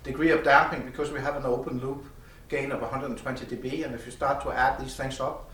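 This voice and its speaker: A man who speaks as a gives a halting technical explanation of audio gear as its designer. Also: medium pitch, flat delivery, a bit bright. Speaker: loudspeaker designer presenting gear at an audio show. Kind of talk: technical product explanation in conversation. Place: indoor demo room at an audio show. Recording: echoey room, distant mic, faint noise.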